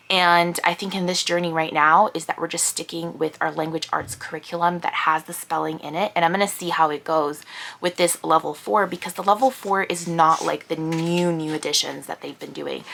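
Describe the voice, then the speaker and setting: A woman vlogging about her homeschool year in casual chat, hoarse from losing her voice and laughing often as she rambles. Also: fairly low pitch, fairly bright tone.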